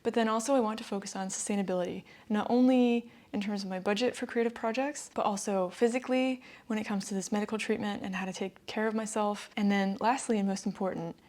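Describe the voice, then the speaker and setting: A woman's voice: medium pitch, steady tone, fast talker, hardly any pauses, darkish tone. A woman from the northeastern United States with an American accent, talking casually to camera about her sewing plans and laughing lightly between phrases. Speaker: sewing vlogger. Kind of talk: casual vlog talk to camera.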